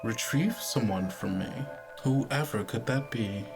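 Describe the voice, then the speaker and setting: A man playing a polite, condescending overseer of a sinister program in an actual-play game, speaking formally and smoothly. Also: medium pitch, a little slow, music in the background. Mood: smooth, knowing. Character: polite, condescending head of a sinister rehabilitation service.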